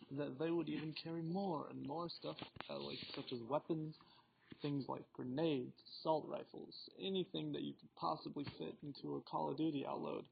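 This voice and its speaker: A man's voice: fairly high pitch, vocal fry, husky. A teenage boy hosting a student book podcast, reading his questions in a formal, slightly theatrical way. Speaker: podcast host. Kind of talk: scripted school podcast discussion. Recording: dull lo-fi recording with faint noise.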